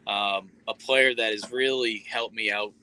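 Bright-voiced man in his thirties. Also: fairly low pitch, steady tone, a little slow, clear, resonant tone, poor audio. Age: 30s. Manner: earnest, rambling with fillers. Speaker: sports reporter guest. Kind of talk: casual interview talk.

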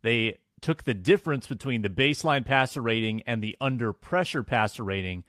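A man, a sports talk show producer, calmly explaining statistics. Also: mid-range voice, no echo, studio quality. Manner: measured, explains stats. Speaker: producer and co-host.

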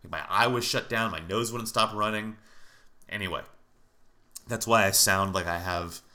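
Nasal, bright-voiced American man podcasting. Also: fairly low pitch, moderate pace. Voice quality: nasal, congested. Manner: informal, conversational, mildly profane. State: stuffed up with allergies. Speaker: podcast host. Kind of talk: casual solo podcast talk.

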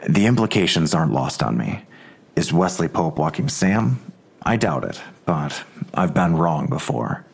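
An actor playing a terse, skeptical police detective in an audio drama, with a dry, deliberate, understated way of talking. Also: male voice, rumbling low, very animated, vocal fry, breathy edge, heavily acted. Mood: measured, wary. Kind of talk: full-cast audio drama dialogue.

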